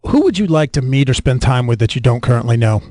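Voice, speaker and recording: A man's voice: medium pitch, lively intonation, quick pace, darkish tone, no room sound, studio quality. An American man hosting a casual interview in a conversational style. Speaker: interviewer, podcast host.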